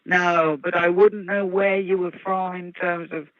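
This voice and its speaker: A woman with a Birmingham accent and a gravelly voice, chatting casually and laughing easily. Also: fairly low pitch, little pitch movement, measured pace, no room sound. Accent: Birmingham English. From English Midlands. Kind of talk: casual chat. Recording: dull lo-fi dry recording.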